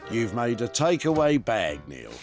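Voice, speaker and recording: A man's voice: mid-range voice, very animated, unhurried. A man reading a scripted voice-over for a TV show, with wry, teasing asides. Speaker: narrator of a TV upcycling show. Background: music.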